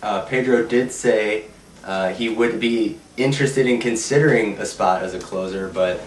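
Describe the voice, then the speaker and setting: A man with a cold and a nasal, stuffed-up voice, co-hosting a casual sports chat and reading the news at a steady pace. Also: fairly low pitch, normal speed, a little hiss or hum. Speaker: podcast co-host. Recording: echoey room, mic at a distance.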